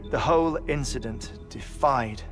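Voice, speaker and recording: An actor playing a grieving, eccentric middle-aged scientist in a video game, speaking in a measured, scholarly way. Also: male voice, medium pitch, lightly acted. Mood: earnest, explanatory. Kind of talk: dramatic video game cutscene acting. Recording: music behind the voice.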